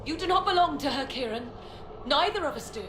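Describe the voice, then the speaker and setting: A woman's voice: high-pitched, lively intonation, fairly quick, background noise. A woman playing a defiant, protective witch mother in a video game, with stern and formal delivery. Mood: fiercely protective. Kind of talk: dramatic video game acting. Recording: roomy reverb with music behind.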